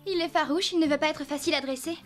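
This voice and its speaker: A woman voicing a kind, modest teenage girl rider in an animated series, gentle in manner. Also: high-pitched, fast, loud music behind the voice, close to an everyday voice. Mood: calm, kindly. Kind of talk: animated series voice acting, French dub.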